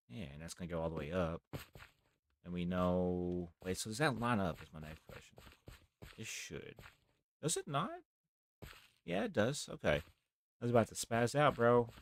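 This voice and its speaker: A young man with a deep voice, rambling softly through casual live gameplay commentary as a streamer. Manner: rambling, talks softly, near whispering.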